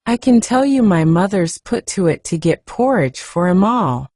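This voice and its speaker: A woman acting out a young Yorkshire housemaid from a storybook, homely, talkative and plain-spoken. Her voice is mellow and swings freely up and down, recorded close and dry. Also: mid-range voice, big pitch swings, normal speed, no echo. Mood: chatty, good-tempered. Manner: chatty, homely, forthright.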